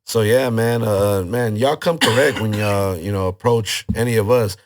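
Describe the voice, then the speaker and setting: A man, hosting and organizing events, talking casually with a hoarse voice and loose slang. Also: lowish voice, normal speed. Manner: relaxed, loose slangy talk. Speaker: podcast host and event organizer. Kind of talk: casual interview chat.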